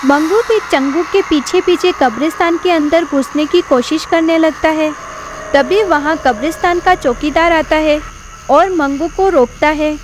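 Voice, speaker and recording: A woman giving scripted narration for an animated horror story. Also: high voice, measured pace, noisy background.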